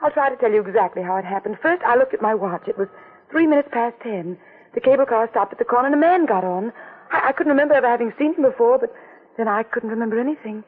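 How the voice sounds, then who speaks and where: A frightened young woman who has lost her memory, anxious and pleading. Her voice has a soft breathy quality. It comes through thin and tinny, like an old radio play. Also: on the high side, animated, fast talker. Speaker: detective's client. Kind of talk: 1940s radio acting.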